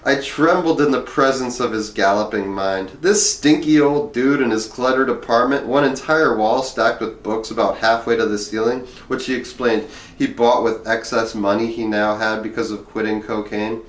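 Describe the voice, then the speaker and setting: A man's voice: mid-range voice, fairly even intonation, moderate pace, few pauses. Wry man reading aloud, echoey room. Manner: wry, conversational. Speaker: reader of a memoir. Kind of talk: reading aloud with casual asides. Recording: roomy, echoing room sound.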